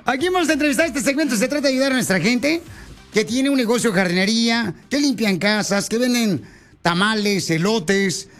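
A male radio host from Mexico runs a lively call-in interview, playful and teasing. His voice sits very high for a man. He jokes about himself and flirts with his callers. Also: brisk, faint music behind. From Mexico (Ocotlán). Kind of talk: joking radio talk show interview.

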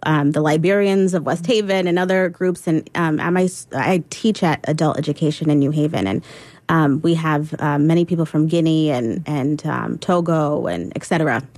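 Female interview guest, slightly low voice, reflective and hesitant, dry close recording. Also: normal speed, a little mellow, no echo. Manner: reflective, hesitant, many ums and restarts. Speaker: interview guest, poet and adult education teacher. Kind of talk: radio interview.

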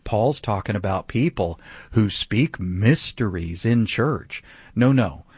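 A man's voice: fairly low pitch, expressive, takes it slowly, darkish tone, slightly rough, dry recording. A man teaching the Bible on a radio broadcast, emphatic and full of rhetorical questions. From grew up speaking some Vietnamese. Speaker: pastor.

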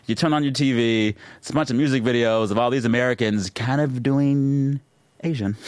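A man's voice: medium pitch, moderate pace, darkish tone, clear, resonant tone, no room sound. A man hosting a casual interview, speaking informally and reacting eagerly to his guest. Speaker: interviewer, host.

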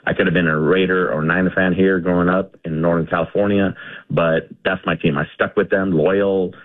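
Male caller from India, low voice, excitable and rambling, tinny phone line. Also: moderate pace. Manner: rambling, repeats himself, excited. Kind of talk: call-in radio chat. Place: home garage.

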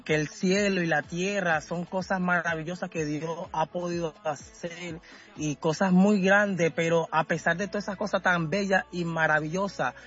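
Hesitant man with a higher-pitched voice. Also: fairly bright tone, muffled recording. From Caracas, Venezuela. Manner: hesitant, halting, often searching for words. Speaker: musician being interviewed. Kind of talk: casual radio interview.

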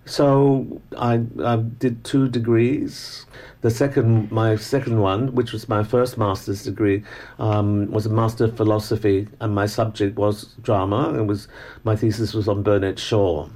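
An older man with an educated Hong Kong English accent, a Cantonese speaker, reminiscing as a guest in a relaxed interview with frequent restarts and small laughs. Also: lowish voice, measured pace. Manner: thoughtful, with many restarts and soft laughs.

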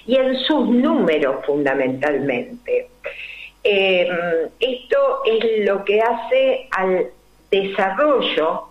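An older woman with a Rioplatense Spanish accent, a numerology guest explaining her ideas slowly and deliberately, full of fillers and rhetorical questions. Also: medium pitch, animated. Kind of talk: explanatory interview talk. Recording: roomy, dull lo-fi recording.

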